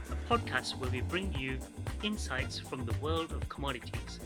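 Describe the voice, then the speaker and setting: Podcast host man over background music. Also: medium pitch. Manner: reads a compliance disclaimer at a brisk clip, hesitant with fillers when unscripted. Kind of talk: scripted intro, then casual interviewing.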